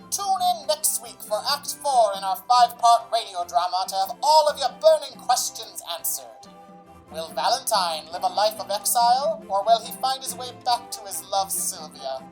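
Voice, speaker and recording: A woman's voice: medium pitch, big pitch swings, moderate pace, soft background music, poor audio. A woman narrating and announcing a radio drama in a scripted, playful old-time serial style.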